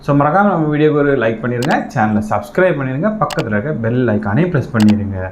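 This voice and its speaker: A man's voice: medium pitch, lively intonation, fast talker. Echoey man hosting casually. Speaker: reaction channel host. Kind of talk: casual chat to viewers. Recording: dull, roomy, faint background noise.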